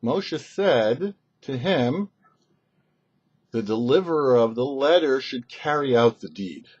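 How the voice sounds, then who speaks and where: A man's voice: mid-range voice, expressive, takes it slowly, breathy edge, highs cut off. A man speaking as a rabbi and show host, giving a short scripted religious lesson in a didactic, questioning manner. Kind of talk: short scripted Torah teaching.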